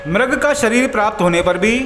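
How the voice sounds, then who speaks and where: A man voicing a serene, humble enlightened sage in a devotional story, teaching in a solemn, deliberate manner. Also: high voice, expressive, a bit bright. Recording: echoey voice over music.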